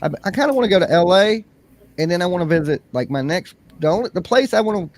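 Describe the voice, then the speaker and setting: A man's voice: sits high, animated, fast talker. A man hosting a casual livestream chat, blunt and salty, laid-back. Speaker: livestream host.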